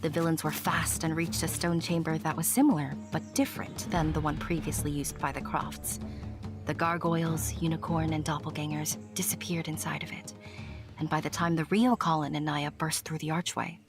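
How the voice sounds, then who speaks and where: A woman voicing a talking cricket who narrates a fantasy audio drama, theatrically addressing the listener in a breathy voice. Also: sits low. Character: talking cricket storyteller, observer turned anxious helper. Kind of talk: scripted fairy-tale audio drama narration.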